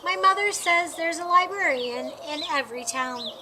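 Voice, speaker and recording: A woman reading a children's picture book aloud in an expressive, deliberate way. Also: very high voice. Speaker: storytime reader. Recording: clearly noisy, with birdsong behind. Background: birds chirping.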